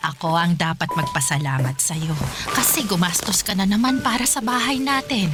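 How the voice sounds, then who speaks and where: A woman's voice: lowish voice, normal speed, over music, natural voice. An actress with a Filipino accent playing a doting, fussing older mother in a radio drama. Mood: doting, grateful.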